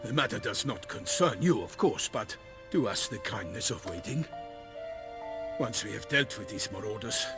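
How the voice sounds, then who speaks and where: An actor playing a courteous, eager knight errant in a fantasy game, with hearty, formal, archaic speech. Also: male voice, on the low side, lively intonation, normal speed. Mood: courteous, earnest. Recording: loud game music behind.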